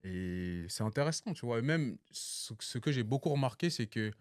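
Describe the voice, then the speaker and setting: A young man from Cameroon chatting casually among friends, telling animated stories full of slang and laughter. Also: medium pitch, normal speed, no room sound, clean studio sound. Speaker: guest. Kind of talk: casual chat.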